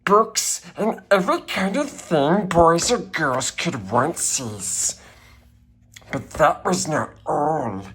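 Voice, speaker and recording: Wretched little creature, throaty rasp, hissing, erratic, wildly swinging pitch, slow storybook reading, fawning and peevish. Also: male voice, fairly bright tone, heavily acted. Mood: peevish muttering. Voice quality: raspy, strangled, throaty. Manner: hissing, erratic, muttering asides. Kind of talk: character reading of a children's storybook.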